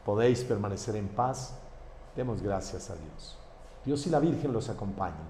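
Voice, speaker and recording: A man from Colombia, a priest saying mass prayers and giving parish notices in a warm pastoral way. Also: on the low side, animated, moderate pace. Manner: warm pastoral address to the faithful. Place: church. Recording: echoey church hall with faint background noise.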